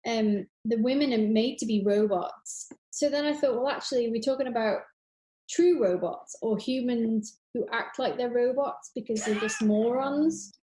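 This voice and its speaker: High-voiced, enthusiastic woman in an echoey room. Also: moderate pace, dark tone, slight background noise. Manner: rambling, enthusiastic, gushing. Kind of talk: casual chat. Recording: roomy, echoey sound.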